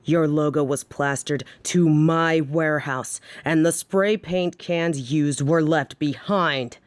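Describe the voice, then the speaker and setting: A woman's voice: low-pitched, steady tone, slow pace. A woman playing a tough, protective mafia boss in an audio drama, formal and menacing in manner. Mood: angry, defiant.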